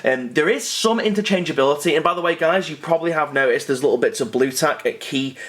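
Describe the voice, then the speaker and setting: A man's voice: on the high side, fast talker. A young man with a cold, chatting casually and apologetically to camera, full of fillers and restarts. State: stuffy with a cold. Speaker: miniatures hobby video host. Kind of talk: casual unboxing talk to camera.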